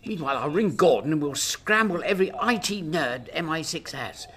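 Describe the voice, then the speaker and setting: A woman's voice: deep voice, expressive, moderate pace, fairly bright tone. A woman playing an anxious, harried Prime Minister in a radio drama. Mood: alarmed.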